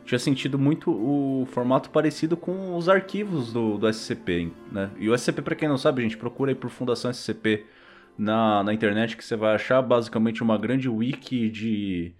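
A man's voice: mid-range voice, brisk, soft background music. A man with a Brazilian accent hosting a podcast in an informal, slangy, chatty way. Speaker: podcast host. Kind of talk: casual podcast chat.

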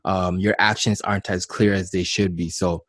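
Low-voiced male podcast host. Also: level tone, normal speed, a bit bright, no room sound, studio quality. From Canada. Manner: affirming, stumbles and repeats words. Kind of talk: casual interview chat.

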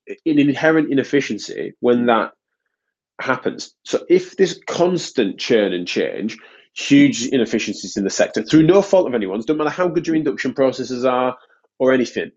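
A man's voice: mid-range voice, darkish tone. A man hosting a podcast, a physiotherapist talking casually in a nasal, stuffed-up voice, rambling with frequent restarts. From United Kingdom. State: congested, stuffy nose. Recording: dull lo-fi recording.

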